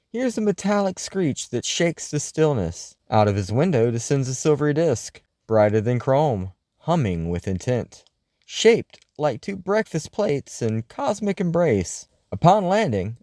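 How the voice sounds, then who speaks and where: A man's voice: medium pitch, warm tone, dry recording, studio quality. A man playing a flamboyant late-night paranormal radio host, telling stories in a grandiose, wordy showman style. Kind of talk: scripted comedic radio monologue and storytelling.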